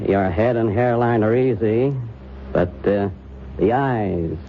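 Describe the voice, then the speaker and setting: An actor playing a genial, absorbed make-up artist in a radio drama, musing and easygoing with hesitant pauses. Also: male voice, fairly low pitch, narrow, tinny audio. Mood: absorbed, pleased. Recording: thin, noisy old radio drama recording.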